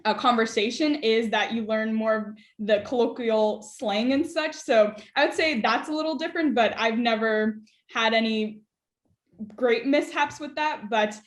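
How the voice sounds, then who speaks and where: A woman's voice: a little high, normal speed, echoey room. A young woman, a student guest in an interview, talks in a thoughtful way with many "uh" and "um" fillers. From United States. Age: young adult. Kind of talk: conversational interview.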